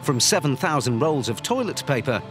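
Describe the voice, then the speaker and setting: A man's voice: medium pitch, very animated, moderate pace. A man delivering scripted narration for a documentary. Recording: music under the voice. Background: background music.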